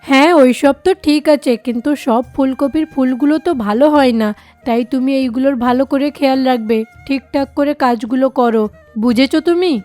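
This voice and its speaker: A fussy cartoon kite who owns the farm, played by a woman. The voice is pitched high, fretful and demanding yet kindly, over a music bed. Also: fairly quick, darkish tone, close to an everyday voice. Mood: worried. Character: fretful kite bird farm owner, demanding but generous. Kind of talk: children's cartoon voice acting.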